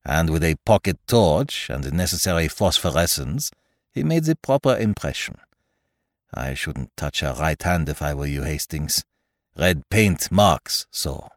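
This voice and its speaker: A man voicing a shrewd, self-assured detective in a mystery audiobook, brisk, precise and gently chiding. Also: very deep voice, animated, dry recording, studio quality, voice pushed down, full character voice. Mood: brisk, satisfied. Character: shrewd, self-assured, fussy male detective, calmly superior. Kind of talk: dramatised audiobook reading of a mystery story.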